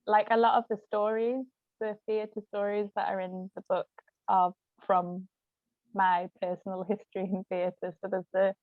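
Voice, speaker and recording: Female interview guest, animated casual storyteller, giggly, mid pitch, dry but slightly dull lo-fi recording. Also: normal speed, dry recording. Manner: giggly, chatty, peppers talk with "like". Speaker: author and stage actress, interview guest. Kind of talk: casual, animated anecdote telling.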